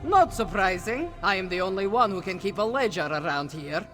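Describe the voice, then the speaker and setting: An actor playing a brusque, self-important bookkeeper in a fantasy video game, talking in a clipped, matter-of-fact way. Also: male voice, high-pitched, expressive, moderate pace, fairly bright tone. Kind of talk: scripted video game dialogue. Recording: reverberant hall, game music underneath.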